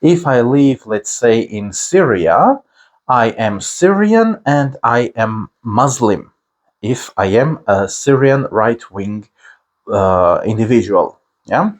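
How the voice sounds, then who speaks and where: A man with an Armenian accent teaching a lesson to camera, speaking slowly and deliberately with frequent filler pauses. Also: mid-range voice, expressive.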